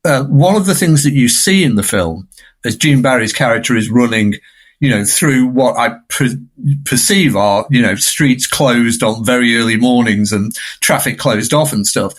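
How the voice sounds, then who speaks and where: A man's voice: medium pitch, moderate pace. A man speaking as a film scholar in an unscripted podcast discussion, discursive and fond of tag questions.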